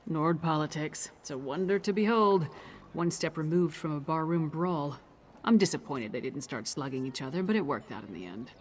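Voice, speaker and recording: A woman playing a gruff, wry warrior companion in a fantasy video game, speaking bluntly. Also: low voice, expressive, moderate pace, a little hiss or hum. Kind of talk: video game character acting.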